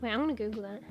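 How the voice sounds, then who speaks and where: A woman's voice: high voice, creaky, a little mellow, breathy edge. A congested woman, rambling in casual live-stream chat as a streamer, swearing and reading search results aloud. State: congested, losing her voice.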